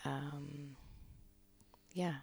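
A woman, a podcast guest reflecting on her life in a slow, hesitant way, pausing often. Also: sits low, steady tone, gravelly, slightly rough. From Malaysia. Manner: slow, hesitant, many pauses and ums, soft-spoken. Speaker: podcast guest, gong practitioner. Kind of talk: reflective personal interview talk.

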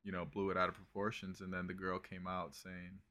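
Bright-voiced young man, loose rambling chat. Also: low-pitched, fairly quick. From Brazil. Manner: relaxed and rambling, swearing, lots of "bro" and "like". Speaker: podcast co-host. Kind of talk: casual chat.

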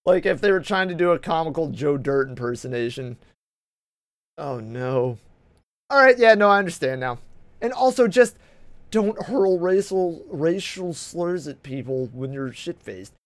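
Brief: male podcast host, loose and profane, slightly high voice, dry close sound. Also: expressive, a little slow, dry recording. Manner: rambling, profane, stumbles and restarts often. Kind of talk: casual banter.

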